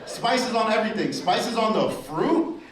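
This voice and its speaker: A man's voice: high voice, animated, measured pace. A young man doing a stand-up set, bantering with the crowd in brash slang. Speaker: stand-up comedian. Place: comedy club stage. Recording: roomy club sound from far off with loud crowd.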